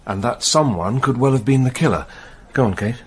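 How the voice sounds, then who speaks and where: An actor playing a senior British police detective in a radio play, husky, measured and questioning with authority. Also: male voice, mid-range voice. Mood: businesslike. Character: senior male police detective, methodical and probing.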